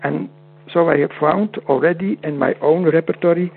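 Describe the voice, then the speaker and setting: A man with a Dutch accent whose first language is Dutch, speaking as a guest in an interview over a call, halting and often repeating words. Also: on the high side, steady tone, vocal fry, slightly rough. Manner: halting, repeats words, non-native phrasing. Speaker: guest homeopath. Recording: tinny phone-like line with hum.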